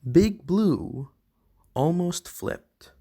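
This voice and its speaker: A reader voicing a friendly moose who gently reads storybooks aloud to young children in a deliberate way. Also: mid-range voice, lively intonation, breathy edge, voice pushed up, exaggerated voice. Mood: friendly, gentle. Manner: slow, deliberate, addressing children directly. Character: Alex the Moose, friendly storybook-reading moose of the enchanted woods.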